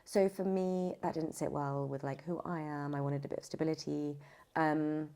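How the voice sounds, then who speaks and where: A woman with a low voice and plenty of vocal fry talks in a casual interview style. She speaks emphatically and often circles back to rephrase her point. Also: steady tone, moderate pace, dark tone. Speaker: voice actor, audiobook narrator, podcast guest.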